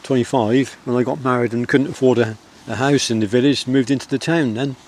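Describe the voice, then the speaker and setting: A middle-aged man with a Wiltshire country accent, vlogging outdoors in the countryside and reflecting in a soft, rambling way full of pauses. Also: medium pitch, steady tone. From England. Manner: soft-spoken, rambling, with many pauses and fillers.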